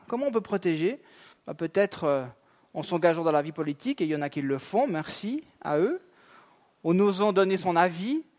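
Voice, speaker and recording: Guy in his 30s preaching in church, pretty high voice, chatty with lots of little asides. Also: a little mellow. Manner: conversational, with fillers and asides. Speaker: pastor. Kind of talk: sermon. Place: church sanctuary.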